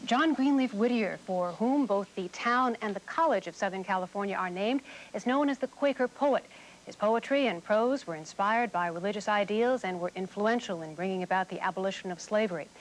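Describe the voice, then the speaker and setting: A woman's voice: medium pitch, fairly quick, rough recording. A woman hosting a television programme, interviewing and presenting to viewers, on an older TV recording. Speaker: TV host and interviewer. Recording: faint noise, older TV recording.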